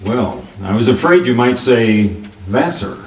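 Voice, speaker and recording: In a roomy recording set back from the mic, a man acts out a hard-boiled private detective from radio noir. His pitch moves freely through wry, clipped lines and narration. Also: lively intonation, measured pace, slight background noise, lightly acted. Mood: cool, probing. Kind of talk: 1940s radio noir acting with scripted narration. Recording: roomy, distant microphone, music behind.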